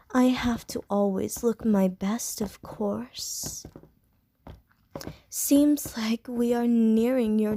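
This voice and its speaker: A woman voicing a flirtatious, predatory female vampire in an ASMR roleplay, speaking softly and teasingly and slipping into whispers. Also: on the high side, heavily acted. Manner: slow, soft, teasing, drops into whispers.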